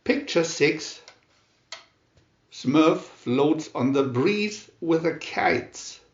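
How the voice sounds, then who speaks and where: Very slow, animated male storybook reader. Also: fairly high pitch, echoey room, lo-fi sound. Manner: slow, deliberate, expressive storytelling delivery. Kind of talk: scripted children's story narration.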